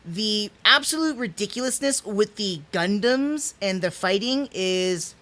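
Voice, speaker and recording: A man's voice: high-pitched, measured pace. Rambling man reviewing anime on stream. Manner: rambling, restarts, stumbles over names, chuckles; animated, gestures with hands while talking. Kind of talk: casual unscripted review. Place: small home room indoors.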